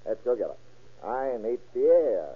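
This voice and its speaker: A cocky, mean-streaked murderer chats breezily in slang about his crimes, without remorse. His pitch swings widely in classic radio crime acting. The recording is thin, tinny and faintly noisy. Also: very animated, unhurried. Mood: cocky, breezy. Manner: breezy, unrepentant, folksy slang. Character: cocky, mean, stubborn laughing killer, casually confessing.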